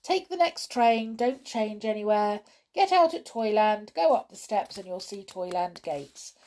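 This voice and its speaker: A woman's voice: mid-range voice, animated, measured pace, a little mellow, pitched above the performer's own voice, lightly acted. A woman voicing a round, sleepy, matter-of-fact little ticket man in a children's storybook. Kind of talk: children's storybook read aloud.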